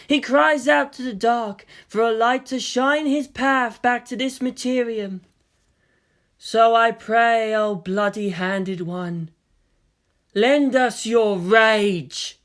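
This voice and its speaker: A man voicing a solemn otherworldly being in a story reading, speaking in a slow, drawn-out, incantatory way. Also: very high-pitched, a bit bright, pitched above the performer's own voice, full character voice. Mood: ominous, reverent. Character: solemn ominous otherworldly being pleading for rage.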